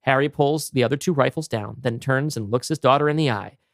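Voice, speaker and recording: A man's voice: medium pitch, brisk, no echo, clean studio sound. A man co-hosting a podcast, talking casually and narrating a script he wrote. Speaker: podcast co-host and writer.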